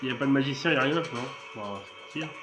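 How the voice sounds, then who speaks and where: A man with a head cold talks his way through a mobile strategy game in a loose, rambling way, full of fillers and restarts. His voice is medium in pitch. The room is echoey, and faint game music plays behind him. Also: rapid-fire. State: has a cold. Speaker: gaming channel host. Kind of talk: casual gameplay commentary.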